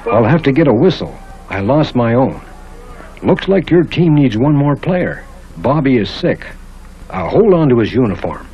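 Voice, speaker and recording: A man voicing a brisk, easily exasperated male basketball coach in a children's cartoon story, giving instructions. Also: medium pitch, big pitch swings, warm tone, lightly acted. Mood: matter-of-fact. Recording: muffled old cartoon soundtrack, background music.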